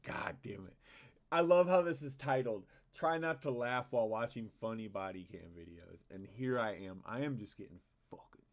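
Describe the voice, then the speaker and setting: A man's voice: fairly low pitch, lively intonation, moderate pace. A young adult man hosting a reaction video, sarcastic and exasperated, swearing often. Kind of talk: casual reaction commentary.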